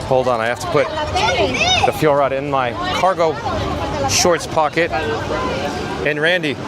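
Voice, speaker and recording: A man hosting a casual live walking stream, chatty and a bit hoarse and raspy, reading the chat while juggling camera gear outdoors. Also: fairly high pitch, steady tone, takes it slowly, over a noisy crowd. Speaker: livestream host. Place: outdoor theme park and ride queue. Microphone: camera rig mic.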